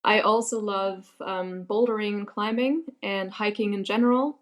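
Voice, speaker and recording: A young woman from Germany, a podcast guest answering in a relaxed way, laughing easily and using many fillers. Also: mid-range voice, normal speed. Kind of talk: casual interview answers.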